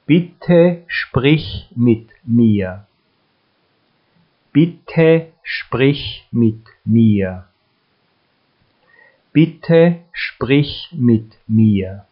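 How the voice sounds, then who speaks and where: A man leads a listen-and-repeat drill, speaking each phrase with great care. His delivery is extremely slow, with long even pauses. The recording sounds a bit muffled and lo-fi. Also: medium pitch. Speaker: language teacher.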